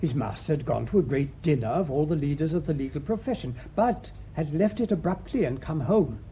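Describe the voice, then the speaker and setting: A British man acting a thoughtful, shrewd priest sleuth in a radio mystery, speaking in a measured, deliberate way. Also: lively intonation, warm tone. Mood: shrewd, assured. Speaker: actor in the lead detective role. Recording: muffled radio recording with faint rumbling noise.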